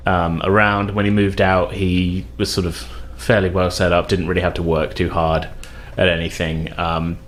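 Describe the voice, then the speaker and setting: Male tabletop player, lowish voice, loose rambling delivery with stops and starts, faint noise behind him. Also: flat delivery, moderate pace, hardly any pauses. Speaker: player in a tabletop role-playing game. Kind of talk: casual improvised storytelling at a game table.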